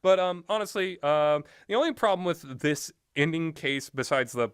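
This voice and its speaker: A man's voice: fairly high pitch, moderate pace, smooth, dry recording, studio quality. A man giving casual, rambling and opinionated commentary on video games, often hesitating as he talks. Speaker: video game reviewer.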